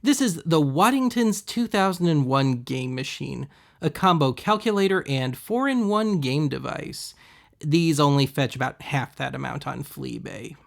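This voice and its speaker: Wry man with creaky, slightly higher voice. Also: a little slow. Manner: wry, deadpan asides. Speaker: host of a web video series. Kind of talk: casual voice-over commentary on thrift finds.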